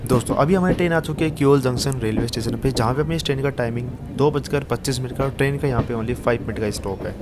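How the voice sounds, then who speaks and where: A man's voice: medium pitch, fast talker, few pauses, over music. A man narrating a casual travel vlog from a packed train and station, chatty and speaking straight to his viewers. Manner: chatty, addresses viewers as brothers. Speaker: travel vlogger. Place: crowded train and station platforms. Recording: noisy crowded train recording. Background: loud crowd and train noise.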